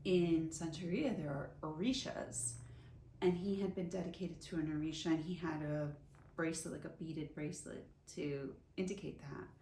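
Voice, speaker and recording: Mellow, low-voiced woman in a roomy space. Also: steady tone, normal speed. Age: 40s. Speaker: storyteller, vlogger. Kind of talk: casual personal storytelling. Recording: roomy echo, faint background noise.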